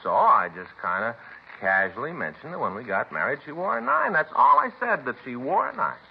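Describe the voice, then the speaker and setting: A man's voice: medium pitch, very animated, a little fast, gravelly. A man playing a fretful, rambling American police detective in a 1950s radio drama, folksy and plaintive in his long-winded way of talking. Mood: defensive, aggrieved. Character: fretful, rambling married male police detective, aggrieved sidekick. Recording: muffled, hissy old radio broadcast.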